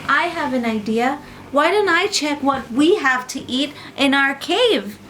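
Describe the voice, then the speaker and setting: A woman's voice: high-pitched, animated, a little slow, a bit bright, slight background noise. A woman voicing a friendly, helpful young lion cub in a bedtime story, eager and full of questions.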